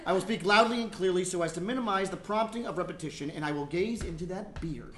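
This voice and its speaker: A man's voice: sits high, fast, fairly bright tone. A man playing an earnest, bossy young child in a live comic stage reading, with emphatic, precise declarations. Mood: bossy, determined. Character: young child, earnest, bossy, precocious about Santa's lap. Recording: roomy live stage recording, clear background noise.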